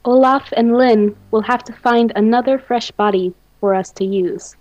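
A woman's voice: fairly high pitch, normal speed, a little mellow, dry recording, dull audio, close to an everyday voice. A woman playing a commanding, composed mad scientist mistress in an amateur radio play. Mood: clinical. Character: commanding female mad scientist, devoted to undead lover. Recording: faint music behind the voice.

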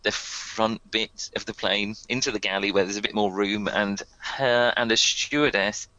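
A man's voice: fairly low pitch, fairly even intonation, moderate pace, bright, forceful tone, dry recording, dull audio. A man narrating as game master for a tabletop game, rambling with many fillers and chuckles.

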